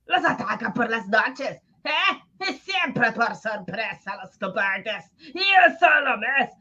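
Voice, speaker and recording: A woman's voice: a little high, bright, forceful tone, muffled recording, full character voice. A woman playing an impatient, scolding male police colonel in an amateur comic-book radio drama. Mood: alarmed. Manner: exclamatory, scolding, theatrical.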